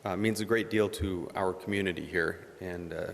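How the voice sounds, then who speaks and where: A middle-aged man with a deep, soft voice that doesn't carry. He talks haltingly and self-deprecatingly, filling gaps with "uh," his words roughened by gravel. A long hall reverb trails behind him. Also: fairly even intonation. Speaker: board member. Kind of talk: informal remarks at a public meeting. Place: community meeting room. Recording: echoey meeting hall.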